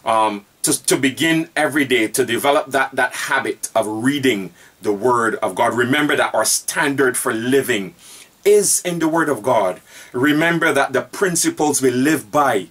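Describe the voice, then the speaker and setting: A man in his forties teaching Bible study as a pastor, speaking deliberately and repeating key phrases for emphasis, filmed by a webcam in a room lined with bookshelves. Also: mid-range voice, fairly bright tone, husky, distant mic.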